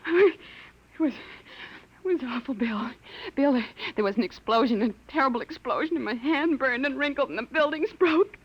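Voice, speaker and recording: An actress playing a frightened, pleading young wife with a breathy voice in a 1950 radio drama. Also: female voice, high voice, expressive, normal speed. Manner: fearful, pleading. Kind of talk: 1950s radio drama acting. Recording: dull lo-fi 1950 radio broadcast with music.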